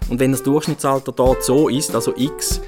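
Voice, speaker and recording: A man's voice: medium pitch, steady tone, moderate pace. A man debating in an insistent, argumentative way. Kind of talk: animated debate. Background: plucked guitar music.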